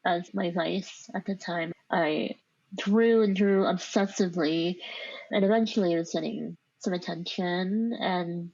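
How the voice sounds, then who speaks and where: A woman's voice: fairly low pitch, a little slow, a little mellow, no room sound, highs cut off. A woman with a speech impediment, telling a personal story in an informal, reflective interview style, peppered with "like". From born overseas in Vietnam, grew up in the United States. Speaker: podcast guest telling their life story.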